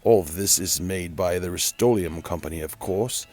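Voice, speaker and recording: A man's voice: deep voice, darkish tone, soft background music. A man voicing a flamboyant, theatrical announcer who muses grandly and teasingly in a mock play-by-play for an online video. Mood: theatrical musing. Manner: grandiose, drawn-out, musing aloud, mock-dramatic.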